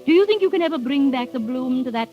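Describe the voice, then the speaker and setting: Wounded, dignified lady, high voice, formal and dramatic, dull-sounding old radio play. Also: expressive, fast talker. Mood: accusing. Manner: formal, clipped, dramatic challenging questions. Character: proud, wounded genteel lady, challenging and wistful. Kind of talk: vintage radio drama acting. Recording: dull, band-limited old radio recording, music behind.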